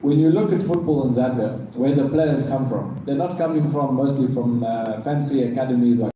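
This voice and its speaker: A man at a launch event speaking unscripted, pausing and searching for his words. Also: mid-range voice, mellow, slight background noise. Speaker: company executive at a launch. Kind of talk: recorded sound bite. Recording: roomy field recording from a distance.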